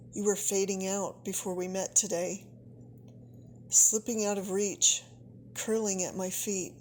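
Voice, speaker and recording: Slow, solemn woman reading poetry. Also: medium pitch, a little mellow, a little hiss or hum. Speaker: poet.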